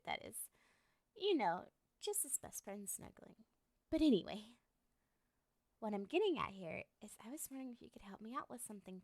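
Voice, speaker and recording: A woman's voice: on the high side, a bit bright, no echo, studio quality. A woman playing a lovestruck, obsessive young woman in an ASMR roleplay, talking to the listener in a coy, rambling, hesitant way. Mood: coy, eager, then nervous, eager.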